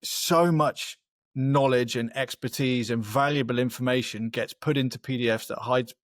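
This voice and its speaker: A man's voice: mid-range voice, steady tone, normal speed, no echo. Man explaining on a webinar, dry sound. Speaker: company representative on a webinar panel. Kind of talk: webinar panel talk, explanatory.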